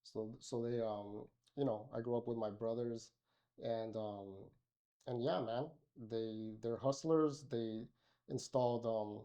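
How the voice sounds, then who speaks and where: A man's voice: mid-range voice, steady tone, slow, dark tone, clean tone. A middle-aged man from Miami, a podcast guest, talking casually with many fillers and restarts. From Miami, Florida. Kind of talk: casual interview conversation.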